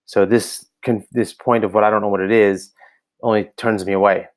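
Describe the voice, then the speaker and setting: A man's voice: fairly low pitch, steady tone, normal speed. An American man giving casual spoken feedback on a website, hesitant and full of ums and restarts, recorded on a laptop camera indoors. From United States. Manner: hesitant, many ums and restarts, thinking aloud. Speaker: website reviewer for coaches. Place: indoor room, seen on camera.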